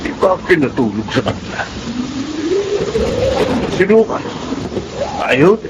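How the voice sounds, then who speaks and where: A man's voice: fairly high pitch, big pitch swings, heavy background noise. A man acting in a Filipino radio drama as a domineering, hard-drinking father with a blustering, bullying manner. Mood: grumbling. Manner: bullying, blustering, quick to challenge.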